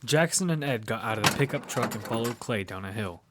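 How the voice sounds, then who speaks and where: A man's voice: medium pitch, lively intonation, brisk, heavy background noise, lightly acted. A man narrating an audio fiction survival story in character, as one who tells of a battle. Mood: storytelling.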